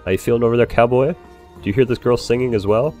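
A man improvising in character during a role-play game, excitable and swearing freely. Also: medium pitch, fast talker, soft background music. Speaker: role-play player, bar owner character.

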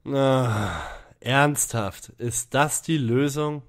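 A man's voice: mid-range voice, very slow. A man playing a sarcastic, grumbling young detective with a sceptical manner in an audio drama parody. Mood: irritated. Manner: dry, sceptical, sardonic.

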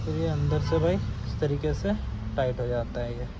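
A man's voice: a little high, normal speed. A man chatting casually to viewers as a vlog host, explaining things informally and repeating key points. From India. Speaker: vlogger and host. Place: small indoor motorbike accessory shop. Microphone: action camera held by the speaker. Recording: noisy room with clear ambient noise.

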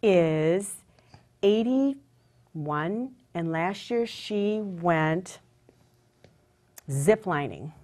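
A rambling, chatty woman in her fifties with a voice set low for a woman. She talks through a craft demonstration on a studio set, trips over her words and restarts them, and laughs at herself along the way, picked up by a clip-on mic. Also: animated, extremely slow, pauses often, mellow. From rural Wisconsin, USA. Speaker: guest craft presenter. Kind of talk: casual chat while demonstrating a craft.

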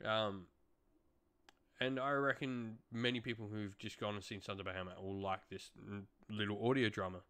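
A man's voice: lowish voice, fairly even intonation, moderate pace, a bit bright, smooth. A young adult man giving a casual, talking-to-camera review as a channel host, recorded indoors in a home room.

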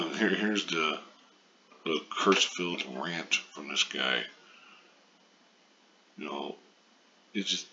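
A man with a low, sharp-edged voice delivers a blunt, sarcastic commentary in casual language full of swearing. His voice is very deep and pushes forward hard. The recording is dull and lo-fi, like a basic mic over a screen recording. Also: creaky. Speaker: video commentator. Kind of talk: casual opinion rant over a news article. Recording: muffled lo-fi mic with faint noise.

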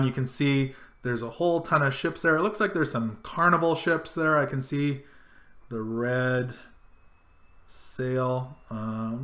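A man's voice: fairly high pitch, sharp tone, roomy sound. A middle-aged man casually talking through a screen-share tutorial, guiding each click and zoom step by step. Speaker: travel vlogger. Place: home room, indoors.

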